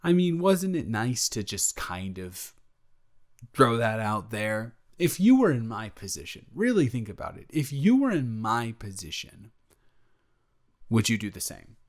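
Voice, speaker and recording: A man acts out a young male roommate with a crush in an intimate audio roleplay. He sits at an average male pitch and speaks casually to one listener. He stammers, cuts himself off and dodges the point with playful jokes. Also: sing-song, measured pace. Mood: awkward, evasive. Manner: rambling, stammering, self-interrupting, playful. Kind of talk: improvised boyfriend roleplay addressed to the listener.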